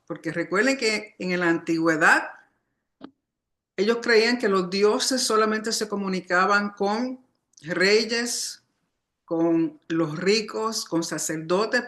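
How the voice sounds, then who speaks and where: Female study teacher, unhurried didactic delivery, clear forward brightness in the tone. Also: mid-range voice. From Puerto Rican family, born outside the island. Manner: didactic, explanatory, often reminding listeners. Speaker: religious teacher. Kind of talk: Torah study lecture.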